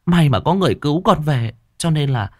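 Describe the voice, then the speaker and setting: A man's voice: on the high side, expressive, a little fast, no room sound, clean studio sound, voice pushed up. Man doing a stammering timid girl. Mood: frightened. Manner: stammering, meek. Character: timid young girl, frightened stepdaughter.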